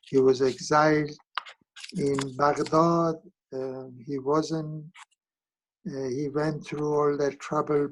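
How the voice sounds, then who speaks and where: A man leading a study group on a video call, talking slowly and hesitantly with many fillers and restarts. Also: fairly high pitch, a little mellow, rough recording.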